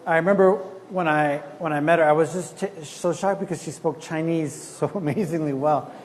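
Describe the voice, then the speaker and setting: A man gives a relaxed, chatty conference talk in a slightly raised voice, often restarting his sentences. The hall rings with a long reverb, and faint noise sits under his voice. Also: a little high, normal speed. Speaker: conference speaker. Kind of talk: conversational lecture.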